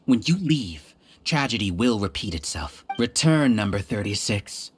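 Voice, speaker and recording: A cold video game overlord, with a very deep female voice that swings in pitch. The delivery is grandiose and condescending, with music playing faintly behind it. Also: very animated, unhurried, exaggerated voice. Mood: scornful. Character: arrogant hive-mind villain, cold and scheming overseer. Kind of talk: scripted video game voice acting. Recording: voice over background game music.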